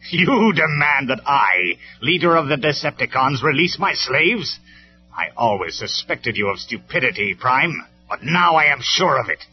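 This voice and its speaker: A man's voice: mid-range voice, sing-song, a little slow, pitched above the performer's own voice. A man voicing a tyrannical robot warlord in a storybook reading, with a derisive, menacing manner. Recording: dull lo-fi recording with music.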